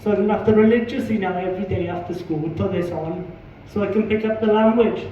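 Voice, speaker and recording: A man's voice: high-pitched, quick pace, slight background noise. A man with a Welsh accent doing a stand-up comedy set in a pub, punchy, sweary and self-mocking. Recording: echoey pub room, distant muffled mic.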